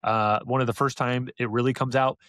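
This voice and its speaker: A man's voice: medium pitch, level tone, a little fast, a bit bright, no room sound, studio quality. A man co-hosting a podcast, giving opinions in an enthusiastic, casual review chat. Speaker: podcast co-host reviewing an audiobook.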